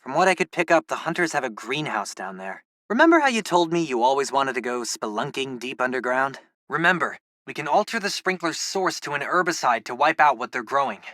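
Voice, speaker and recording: A man with an American accent voicing a geeky, excitable teenage boy, a loyal superhero's tech sidekick in a video game, talking informally and eagerly. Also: mid-range voice, fairly quick, hardly any pauses, no room sound, studio quality. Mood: casual briefing. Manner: informal, eager, nerdy tech talk with quips. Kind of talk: video game voice acting, casual radio-style chatter.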